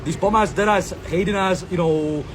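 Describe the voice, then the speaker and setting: A man's voice: sits high, normal speed. A man from Sri Lanka recording a rambling outdoor monologue as a content creator, full of slang, fillers and repeated catchphrases. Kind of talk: rambling self-filmed monologue. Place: outdoors in a public space.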